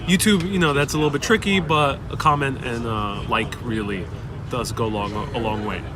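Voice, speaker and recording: Chatty young livestream host, busy street. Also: male voice, mid-range voice, steady tone, normal speed. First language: Spanish. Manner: reads viewer questions aloud and rambles warmly. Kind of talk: casual live-stream chat. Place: outdoors on a busy city street. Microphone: wireless clip-on mic. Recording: outdoor street with clear crowd noise. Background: street crowd and passing traffic.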